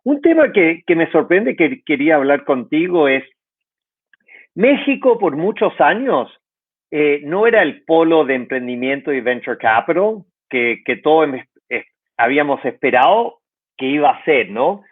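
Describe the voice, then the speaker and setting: A man's voice: fairly high pitch, big pitch swings, measured pace, slightly rough. Male podcast host on a phone-like line. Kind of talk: conversational interview.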